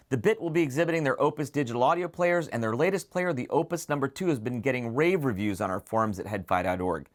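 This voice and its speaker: Man, medium pitch, product preview host, semi-scripted delivery, detailed, enthusiastic, clearly enunciated. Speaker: presenter of an audio gear preview show.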